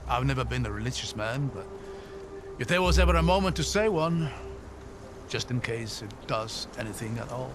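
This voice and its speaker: An actor playing a loyal, compassionate male travelling companion in a video game cutscene, earnest and expressive in his delivery. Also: medium pitch, normal speed, background noise, natural voice. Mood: solemn, gentle.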